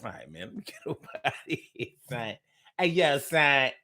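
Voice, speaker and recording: A man's voice: medium pitch, big pitch swings, moderate pace, pushed and bright. A man hosting a podcast, riffing loosely in a playful, rambling way with heavy slang and repetition. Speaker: podcast host. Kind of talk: casual late-night podcast sign-off.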